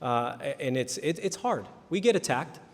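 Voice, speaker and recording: A man's voice: a little high, big pitch swings, normal speed. A man answering questions at a candidate forum, earnest, often restarting his words. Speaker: city council candidate. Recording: reverberant hall.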